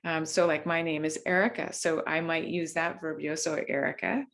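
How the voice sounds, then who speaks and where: Woman facilitator on a video call, low-ish voice with some fry, gentle and unhurried. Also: flat delivery. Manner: soft, unhurried, with frequent ums and restarts. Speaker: workshop facilitator. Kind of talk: gentle guided mindfulness talk.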